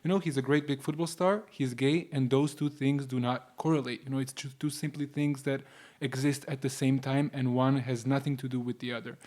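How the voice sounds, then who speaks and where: A man's voice: medium pitch, steady tone, brisk, hardly any pauses, darkish tone. A man, a guest talking earnestly in a casual panel discussion and speeding up as he makes his points. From Croatia. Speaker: podcast guest.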